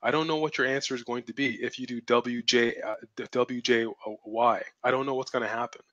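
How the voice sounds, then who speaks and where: A man's voice: mid-range voice, fairly even intonation, fairly quick, a bit bright, no room sound. A blunt, assertive man mentors viewers through a live webinar, hammering points home by repeating them. His voice is strained and cracks often. The stream sound is dull and lo-fi, with a dry, close feel. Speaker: webinar host and business mentor.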